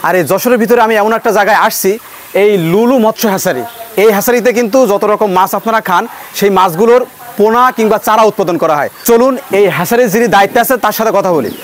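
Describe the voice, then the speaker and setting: A man's voice: high voice, a little hiss or hum. A man hosting a casual on-site vlog, talking straight to viewers. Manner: brisk, addresses viewers directly. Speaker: video host. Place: outdoor fish hatchery.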